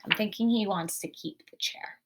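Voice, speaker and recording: A woman teacher reads a picture book aloud to young children in a medium-pitched voice. She narrates expressively, then explains and asks guiding questions as she goes. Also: moderate pace, noisy background.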